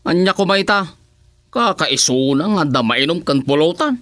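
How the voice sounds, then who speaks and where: Matigas ang ulong lasenggong kagawad. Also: female voice, low voice, expressive, moderate pace, a bit bright. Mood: defiant. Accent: Ilocano. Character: stubborn drinking male village councilor, middle-aged. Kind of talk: radio drama acting.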